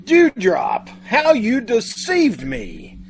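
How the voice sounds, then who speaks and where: A man's voice: very high voice, huge pitch swings, very slow, heavily acted. A man playing a gullible, lovestruck bridegroom in old-time radio comedy. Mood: doting, bewildered.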